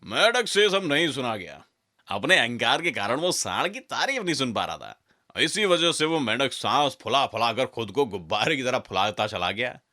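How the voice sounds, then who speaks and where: A man's voice: mid-range voice, very animated, normal speed, sharp tone. A man narrating a scripted children's fable as a storyteller.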